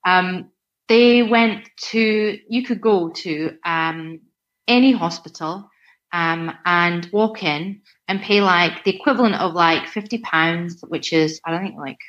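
A woman's voice: fairly low pitch, takes it slowly, fairly bright tone. A Scottish woman chatting casually and filling her talk with "like" and "right?". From Scotland. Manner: chatty, many fillers like "like" and "right?". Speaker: personal trainer. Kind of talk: casual chat. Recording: dull lo-fi sound.

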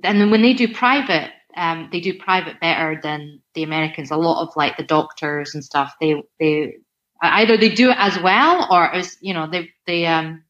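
A woman's voice: low-pitched, moderate pace, fairly bright tone. A Scottish woman chatting casually and filling her talk with "like" and "right?". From Scotland. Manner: chatty, many fillers like "like" and "right?". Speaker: personal trainer. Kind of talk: casual chat. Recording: dull lo-fi sound.

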